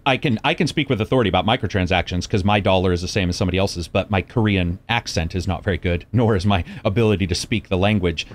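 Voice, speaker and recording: A man in a casual discussion, agreeable and chatty, often laughing and agreeing with others. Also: on the low side. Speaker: podcast guest.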